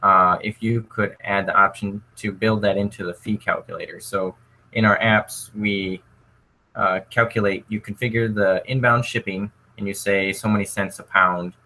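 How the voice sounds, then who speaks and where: A man moderating a casual livestream Q&A, relaxed and thinking aloud as he passes on viewers' questions. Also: on the low side, moderate pace, poor audio.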